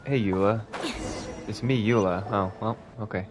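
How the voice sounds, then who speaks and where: A woman's voice: rumbling low, normal speed, noisy background. A woman giving casual, sarcastic and crude commentary over a video game playthrough. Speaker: gaming commentator.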